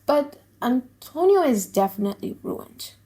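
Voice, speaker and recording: A woman's voice: high-pitched, sing-song, unhurried, warm tone. Woman playing a plain-spoken news-bearing friend. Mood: matter-of-fact. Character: matter-of-fact male friend bringing gossip and bad news.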